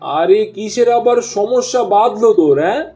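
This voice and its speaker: A man's voice: sits high, expressive, normal speed, warm tone, soft background music. A man voicing an eager, friendly village fisherman in a cartoon dub. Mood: jovial. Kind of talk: cartoon dubbing.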